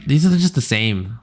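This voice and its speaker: A young man hosting a casual podcast, reading funny stories aloud in a smooth low voice, rambling with fillers and small laughs. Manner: relaxed, rambling, frequent fillers and little laughs. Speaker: podcast host. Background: faint background music.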